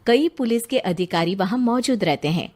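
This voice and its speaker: A woman storyteller delivers scripted narration in a mid-pitched voice. She reads the tale out as its narrator, moving from scene to scene. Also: expressive, normal speed, darkish tone.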